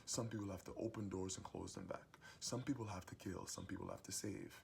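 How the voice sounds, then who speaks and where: A young adult man with a booming voice gives a preachy, emphatic motivational monologue as host of his own series. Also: fairly even intonation, brisk, creaky, darkish tone, slight background noise. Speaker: host giving advice monologue.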